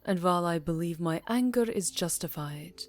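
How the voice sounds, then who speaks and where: A woman voicing an elegant, imperious yet tenderly seductive vampire countess in an intimate ASMR roleplay, speaking formally and softly, breathy and close to the mic, drifting into whispers. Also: fairly low pitch, lively intonation, normal speed, lightly acted. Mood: apologetic, tender. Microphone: close microphone.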